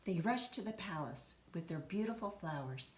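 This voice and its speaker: A woman reading a children's story aloud in an expressive, deliberate storytelling manner. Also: lowish voice. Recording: roomy echo, some background noise.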